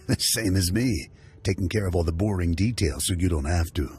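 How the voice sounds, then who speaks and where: A man playing a seasoned bounty hunter mentor who briefs in a steady, matter-of-fact way in video game dialogue. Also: deep voice, moderate pace, close to an everyday voice. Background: faint game music.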